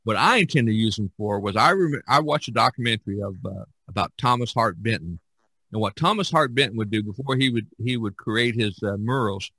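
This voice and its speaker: Guy hosting a casual podcast, kinda halting, sharp bright voice, really dry recording. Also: lowish voice, expressive, husky, no room sound, low-quality recording. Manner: halting, with repeated false starts. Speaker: podcast host. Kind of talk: casual chat about art.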